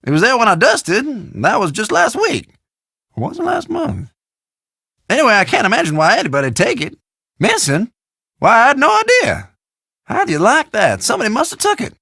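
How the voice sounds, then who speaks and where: Game role: rambling country storekeeper, Southern drawl, bright and creaky, pitch swinging wildly. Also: male voice, huge pitch swings, normal speed, no room sound, clean studio sound, full character voice. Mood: folksy. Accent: American Southern or South Central country. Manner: folksy, rambling, theatrical drawl. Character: folksy, chatty, forgetful small-town male shopkeeper. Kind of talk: video game voice acting.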